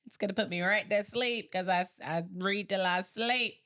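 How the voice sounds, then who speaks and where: A woman's voice: mid-range voice, normal speed. A woman in her late thirties co-hosting a podcast, chatting casually and telling stories with lots of quoting and laughter. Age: 30s. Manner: animated storyteller, quotes others, laughs often. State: a little sleepy. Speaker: podcast co-host. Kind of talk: casual chat.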